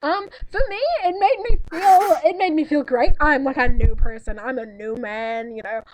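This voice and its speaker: A woman's voice: sits high, fairly even intonation, normal speed, no room sound, low-quality recording. A young woman chatting casually with a friend, with a giggly manner and mock-dramatic sarcasm. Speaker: co-host reading fanfiction. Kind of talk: casual joking chat on a call with a friend.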